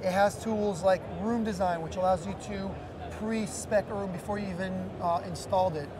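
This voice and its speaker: A man's voice: high voice, fairly even intonation, moderate pace. A man in his thirties explaining a product as an executive being interviewed at a busy trade show booth. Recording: loud busy trade show floor noise.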